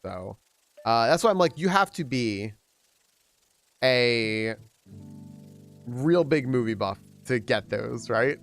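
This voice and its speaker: A man talking loosely to his stream chat during a game, his voice pitched at an everyday middle. His thoughts wander and restart often, and he springs higher to greet viewers. Soft game music plays quietly behind him. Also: expressive, slow pace, long pauses. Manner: rambling, with many restarts and trailing thoughts. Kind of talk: casual live-stream chat while gaming.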